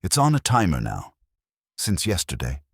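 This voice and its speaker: A man voicing a brisk, no-nonsense female office manager of about fifty in an audiobook. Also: deep voice, wildly swooping pitch, gravelly, husky, dry recording, studio quality, full character voice. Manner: curt, practical. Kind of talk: audiobook character voice.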